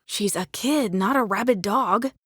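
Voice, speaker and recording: A woman's voice: mid-range voice, a little slow, a bit bright, slightly rough, dry recording, studio quality. A woman playing a stubborn, compassionate young woman thief in an audiobook drama. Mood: soothing, stubborn.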